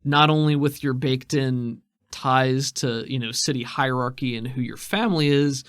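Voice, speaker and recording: A man's voice: mid-range voice, moderate pace, fairly bright tone, clear, resonant tone, dry recording, studio quality. A man running a tabletop role-playing game, narrating scenes and explaining rules in a conversational, explanatory way. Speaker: game master. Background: music.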